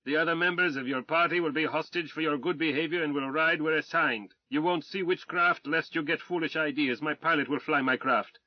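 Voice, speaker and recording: A man's voice: a little high, fairly quick, hardly any pauses, dry recording, voice pushed up, lightly acted. Man playing a blustering colonel, pompous, raised and strained, muffled dry sound. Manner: pompous, shouting commands. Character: blustering older male Russian colonel, arrogant, bullying.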